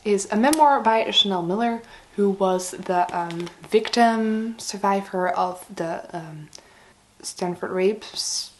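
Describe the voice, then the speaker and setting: Raspy, slightly hoarse woman chatting. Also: medium pitch, takes it slowly, a little mellow, slight background noise. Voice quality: raspy, breaking into breathiness. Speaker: book vlogger. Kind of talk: casual chatty book review to camera.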